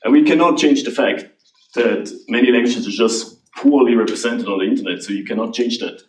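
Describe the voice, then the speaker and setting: A man's voice: mid-range voice, recorded from a distance. Man in a roomy hall. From Switzerland. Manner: halting, restarts often, laughs lightly. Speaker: AI researcher answering questions. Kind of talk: conference Q&A.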